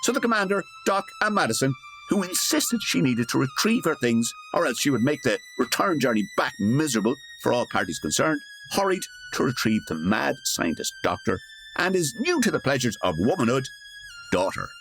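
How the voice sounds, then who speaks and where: A man's voice: mid-range voice, big pitch swings, fairly quick, fairly bright tone, rough recording. A man giving scripted comic narration for a radio drama parody, wry and theatrical. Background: music.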